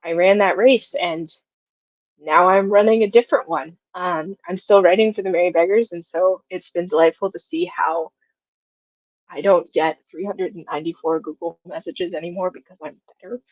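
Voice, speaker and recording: A woman's voice: fairly low pitch, moderate pace, no echo, highs cut off. A woman in her twenties talking reflectively and casually as an interview guest, feeling her way through her thoughts. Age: 20s. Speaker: guest, audio drama writer.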